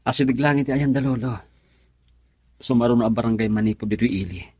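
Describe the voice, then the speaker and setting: A man's voice: medium pitch, quick pace, darkish tone, husky, no room sound, natural voice. An actor in an Ilocano radio drama playing an earnest, reassuring young man running away with his sweetheart.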